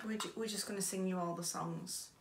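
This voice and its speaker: A woman's voice: lowish voice, fairly even intonation, brisk, creaky. A young woman with a British accent hosting a chatty unboxing vlog in a playful, informal way. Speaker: vlog host. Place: small home bedroom, indoors. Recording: roomy, distant mic, audible noise.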